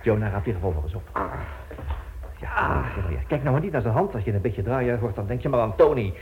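A man's voice: mid-range voice, expressive, fairly quick, close to an everyday voice. Actor as a commanding captive man, muffled old recording. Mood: urgent, hushed. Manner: clipped urgent orders, menacing politeness. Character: resourceful male captive, commanding, coolly threatening his jailer. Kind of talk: Dutch radio drama acting. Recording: muffled, noisy old radio play tape.

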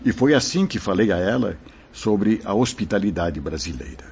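An actor playing a gentle, solemn spirit mentor in a radio drama, fatherly and reassuring, with formal, measured delivery. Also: on the low side, animated, gravelly, husky, exaggerated voice. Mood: solemn recounting. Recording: dull lo-fi radio drama recording.

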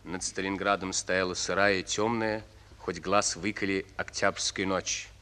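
Male narrator, deep voice, intense edge, solemn, deliberate, radio war drama, long reverb. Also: level tone, pushed and bright, smooth. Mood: grave. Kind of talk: scripted narration.

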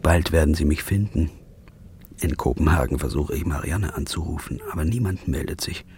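A man's voice: extremely low pitch, normal speed, a little mellow, a little hiss or hum. An actor playing a hunted male journalist in a radio play, narrating in first person and arguing with tense, paranoid insistence.

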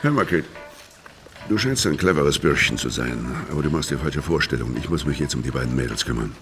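Deep-voiced, weary hard-boiled killer. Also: male voice, lively intonation, fairly quick, a bit bright, faint music behind, full character voice. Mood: cool, dismissive. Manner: laconic, matter-of-fact. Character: weary, hard-boiled middle-aged male mob killer narrating his story. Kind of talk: noir radio drama, first-person narration.

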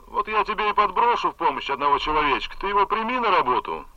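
Muffled telephone effect, commanding superior, breezy, slightly high, lively intonation. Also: male voice, fast. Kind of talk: audio play acting.

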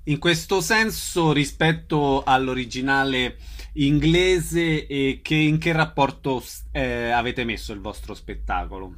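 Radio host, male, mid pitch, calm measured questions. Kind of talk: radio interview.

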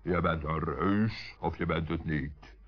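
A man playing a calm, kindly but commanding giant in a children's radio play, speaking in a measured, authoritative way. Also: rumbling low, full character voice. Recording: dull, muffled old radio recording.

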